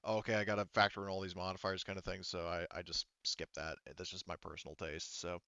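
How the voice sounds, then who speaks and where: Guy game mastering a tabletop rpg, lowish clear voice, informal, thinking out loud as he goes. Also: little pitch movement, fast, no room sound, lo-fi sound. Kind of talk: running a tabletop roleplaying game, casual and improvised.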